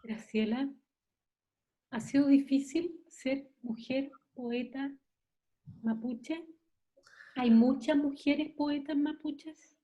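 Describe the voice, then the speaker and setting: A woman host asking interview questions in a gentle, somewhat hesitant way. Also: high-pitched, fairly even intonation, slow pace, pauses often. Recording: dull, lo-fi sound.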